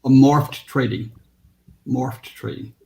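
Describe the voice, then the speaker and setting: A man's voice: mid-range voice, fairly even intonation, takes it slowly, creaky, mellow, poor audio. Southern man hosting a live panel. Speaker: host. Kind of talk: live panel show chat.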